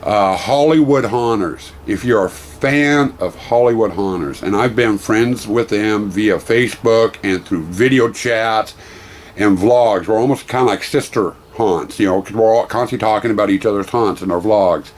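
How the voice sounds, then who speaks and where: A middle-aged man vlogging casually about a weekend convention. He has a hoarse voice from losing it, and he rambles warmly, full of thanks. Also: lowish voice, normal speed. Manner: rambling, effusive, grateful. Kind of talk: casual vlog talk.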